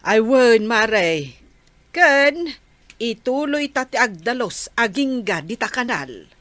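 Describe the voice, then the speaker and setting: A woman's voice: fairly high pitch, very animated, slow pace, a bit bright, dry recording, exaggerated voice. An actress playing a practical, obliging village councilwoman in an Ilocano comedy radio drama, speaking with an Ilocano accent. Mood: agreeable. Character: practical, obliging village councilwoman who organises clean-ups.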